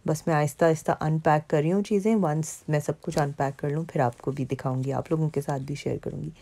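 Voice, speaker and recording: Woman from Pakistan, vlogger, chatty voice-over, low mellow voice. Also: fairly even intonation, brisk, vocal fry. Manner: chatty, conversational, frequent fillers. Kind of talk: casual narration over mall footage.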